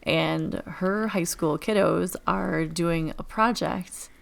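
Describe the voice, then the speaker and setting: A woman from Illinois hosts a relaxed teachers' podcast, rambling and chatty with plenty of fillers. Her voice sits on the low side and carries a gravelly, fry-laden texture. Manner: chatty, rambling, quick asides with many fillers. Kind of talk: casual chat.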